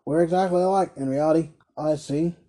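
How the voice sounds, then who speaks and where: A man's voice: on the high side, brisk, dark tone, close to an everyday voice. A man voicing a smug, domineering older male merchant in a storybook reading, curt and condescending. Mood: mock-polite, condescending.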